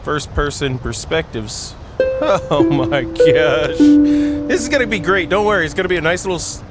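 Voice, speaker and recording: A man's voice: on the high side, moderate pace, loud music behind the voice. A man hosting a gaming video, reading aloud and breaking off for playful, jokey commentary. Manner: self-interrupting, jokey, animated.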